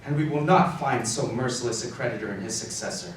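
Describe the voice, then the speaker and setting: An actor playing an earnest, indebted husband in a live radio drama, grave and measured. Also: male voice, mid-range voice, animated, lightly acted. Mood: hopeful relief. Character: Caroline's husband, earnest indebted man, grave yet hopeful. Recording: echoey live stage, distant mic, faint noise.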